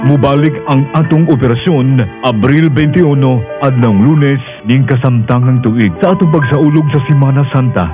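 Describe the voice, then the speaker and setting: Tinny radio announcement by a man. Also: medium pitch. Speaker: station announcer. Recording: phone-like radio broadcast with music.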